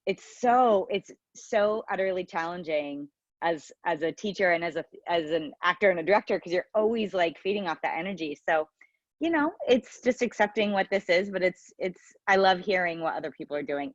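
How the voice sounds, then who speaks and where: A woman's voice: fairly low pitch, a little fast, no echo. A woman theatre teacher talking casually and ramblingly on a video call, searching for words, with dull sound. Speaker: theatre teacher, actor and director.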